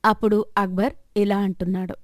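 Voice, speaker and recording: A woman's voice: mid-range voice, flat delivery, a little fast, dry recording, clean studio sound. A woman narrating a scripted moral story as the storyteller.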